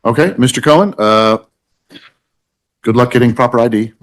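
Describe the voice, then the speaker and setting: A man speaking as a judge in a courtroom discussion, thinking aloud in a halting, deliberative way with false starts. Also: medium pitch, lively intonation. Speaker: judge presiding over a court hearing.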